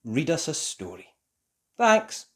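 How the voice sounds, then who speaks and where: Storybook narrator for young kids: mid-pitched, unhurried, hugely varied intonation, deliberate sing-song delivery. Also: big pitch swings. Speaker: children's story reader. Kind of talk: expressive scripted storybook narration.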